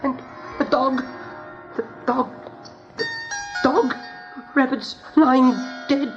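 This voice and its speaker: Frail young rabbit visionary, high and dragging, anguished, halting repetitions, radio drama with prominent music behind. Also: steady tone. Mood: entranced, terrified. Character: frail young seer rabbit in a dreadful trance. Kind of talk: 1980s radio play acting. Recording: dull vintage radio recording with loud music.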